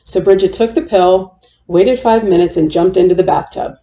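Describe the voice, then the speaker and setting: A woman reading a children's picture book aloud as a storytime host, with a deliberate, repeating storytelling cadence. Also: lowish voice, dark tone, dull audio.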